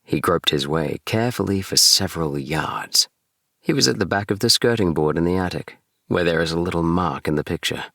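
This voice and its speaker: A man narrating a bedtime audiobook in a gentle, soothing storytelling manner. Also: sits low, brisk, vocal fry, no echo, clean studio sound. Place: small DIY recording booth.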